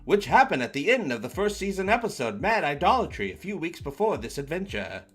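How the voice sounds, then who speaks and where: A man's voice: on the high side, very animated, a little fast, slightly rough. A man hosting a sci-fi comic read-along, narrating casually and chatting to listeners.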